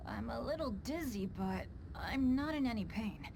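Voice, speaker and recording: A woman's voice: fairly high pitch, animated, moderate pace, vocal fry, background noise. A woman voicing an earnest, unlucky teenage boy adventurer in an anime-style game, with a boyish voice. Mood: shaken, brave.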